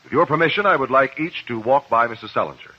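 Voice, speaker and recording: A muffled old radio drama recording of an actor as a composed male investigator. He has a slightly rough voice and an American accent, and he questions formally and precisely, weighing each phrase. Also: medium pitch, animated, quick pace, natural voice. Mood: calm, probing. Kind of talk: 1950s radio drama acting.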